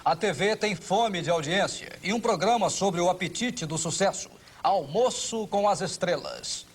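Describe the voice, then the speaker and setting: A man's voice: high voice, lively intonation, a little slow, husky. A man with a Brazilian accent reading scripted, poetic documentary narration.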